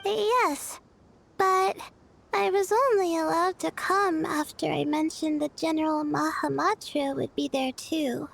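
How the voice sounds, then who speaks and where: A woman voicing a sleepy, timid young female student in a video game, with a soft, hesitant way of talking. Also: high voice, fairly even intonation, a little slow, creaky, a little hiss or hum, heavily acted. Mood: tired, earnest. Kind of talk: video game character dialogue.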